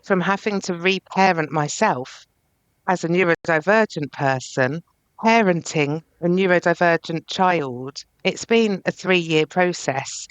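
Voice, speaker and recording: Smooth-voiced earnest woman guest. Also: lowish voice, measured pace, no room sound. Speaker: guest therapist. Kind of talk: podcast interview.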